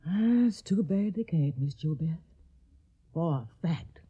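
An actress playing a caring, plainspoken older household mammy with a Southern accent and a folksy, gently scolding manner in a radio drama. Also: female voice, sits low, expressive, slow pace, highs cut off, slight background noise. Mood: resigned, caring.

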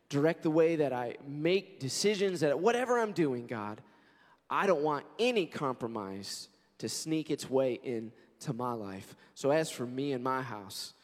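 A man in his forties, a pastor, preaching a sermon in an earnest, conversational way and telling stories, his voice hoarse and scratchy. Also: medium pitch. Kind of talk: sermon with prayer and scripture reading. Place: church sanctuary. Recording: long reverb of a large church hall.

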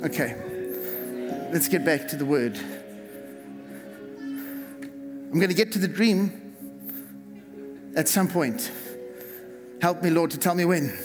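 A South African pastor preaching a fervent sermon, repeating words and calling on the congregation to respond. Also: male voice, a little high, lively intonation, takes it slowly, long pauses. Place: church hall. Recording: reverberant hall with music behind. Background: music.

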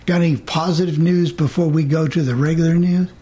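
A man's voice: fairly high pitch, fairly even intonation, brisk, warm tone, a little hiss or hum. A man hosting a live radio panel show in a casual, conversational way. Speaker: host of a radio hobbyist show.

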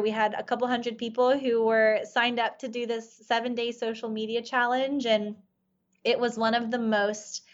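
A woman's voice: a little high, lo-fi sound. Earnest woman with a Farsi mother tongue. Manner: earnest, reflective, many "you know" fillers. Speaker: guest life coach. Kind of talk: storytelling in a podcast interview.

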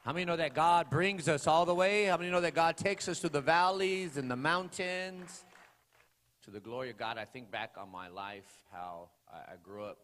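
A man in his forties, from the Los Angeles area, preaching his testimony to a congregation in a church sanctuary, talking loosely with many fillers and rising into loud preaching bursts. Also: on the high side, moderate pace. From Los Angeles area, California. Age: 40s. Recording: long hall reverb.

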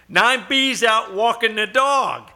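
A man's voice: very high voice, slow pace, sharp tone, full character voice. A man playing a defensive, reluctant working-class building caretaker in a live old-time radio suspense play, colloquial and protesting. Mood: exasperated.

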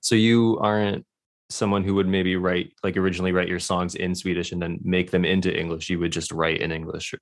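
A man's voice: low-pitched, level tone, brisk. A man with an American accent, interviewing in a casual, informal and slightly rambling conversational way. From United States.

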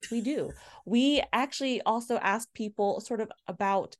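A woman's voice: a little high, moderate pace. A woman co-hosting a podcast, chatting casually and laughing easily. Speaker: podcast co-host.

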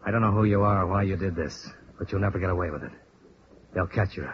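An actor playing a hard-boiled American insurance investigator in a vintage radio drama, cool, terse and steady in narration and dialogue. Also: male voice, low-pitched, fast talker, close to an everyday voice. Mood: steady, reasoning. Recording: muffled, noisy old radio recording.

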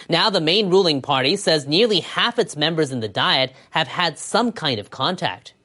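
Female news anchor with a low register, formal and measured, reading scripted international reports. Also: fairly bright tone.

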